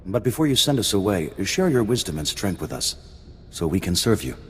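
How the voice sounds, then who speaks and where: An awed, deferential follower in a video game. The deep voice moves expressively, in a long hall reverb with some noise. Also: male voice, very animated, a little fast, fairly bright tone. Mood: reverent, pleading. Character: humble, awed male cult follower. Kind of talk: video game dialogue acting.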